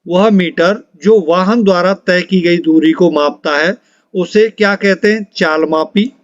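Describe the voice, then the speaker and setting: Male exam tutor, high pitch, instructional quiz read-out with repeated answers, dry close sound. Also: dry recording, dull audio. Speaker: exam coaching teacher. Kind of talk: reading out multiple-choice quiz questions and answers to students.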